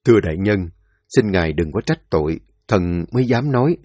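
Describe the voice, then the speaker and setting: A man's voice: sits low, expressive, fairly quick, warm tone, no echo, clean studio sound. A man voicing a deferential, hesitant older royal physician in a storybook reading. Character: humble older male royal physician, cautious.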